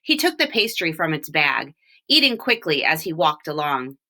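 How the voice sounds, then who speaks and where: A woman reading a children's storybook aloud, with expressive, deliberate phrasing and short explanations. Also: low-pitched. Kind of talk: read-aloud storytelling with brief picture explanations.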